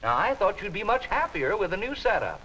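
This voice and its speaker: An American actor playing a brusque, patronizing middle-aged office boss in a 1950s instructional film, on a muffled, hissy soundtrack. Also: male voice, high-pitched, very animated, fairly quick, pushed and bright, heavily acted. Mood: exasperated, incredulous. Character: exasperated male accounting department boss, brusque and patronizing.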